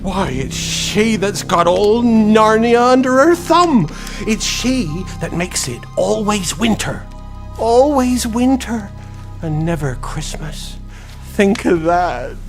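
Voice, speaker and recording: An actor playing a timid, guilt-ridden fawn in a radio drama, faltering and plaintive. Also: male voice, high voice, lively intonation, takes it slowly, soft background music, full character voice. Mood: ashamed, confessing. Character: tearful, guilt-ridden male fawn, timid and self-reproaching.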